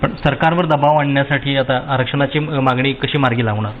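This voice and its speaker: A man's voice: mid-range voice, steady tone, brisk. A man with a Maharashtrian accent reporting from the field as a television news reporter. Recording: tinny phone-like sound, some background noise.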